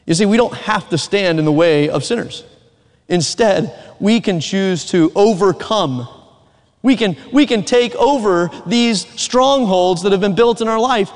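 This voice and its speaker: High-voiced Southern preacher in a reverberant hall. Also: male voice. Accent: American Southern. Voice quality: earnest, emphatic, joking asides. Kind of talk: sermon. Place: church sanctuary.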